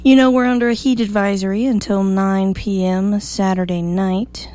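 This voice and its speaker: A woman hosting a morning radio show, slightly hoarse, chatty and upbeat as she reads the weather. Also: medium pitch, steady tone, moderate pace, warm tone. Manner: chatty, upbeat, self-joking. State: a little hoarse.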